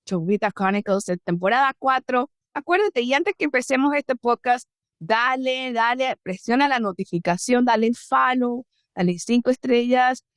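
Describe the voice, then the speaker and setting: A woman podcast host with a slightly high voice who talks in a lively, chatty way. She switches back and forth between Spanish and English as she asks questions. The sound is close and dry. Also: a little fast, no echo. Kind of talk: casual interview, mixing Spanish and English.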